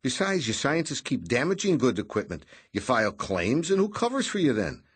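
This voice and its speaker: A man's voice: medium pitch, very animated, brisk, husky, voice pushed up. A man voicing a jolly, plump, bald middle-aged bureaucrat who is cheerful and evasive. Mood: protesting.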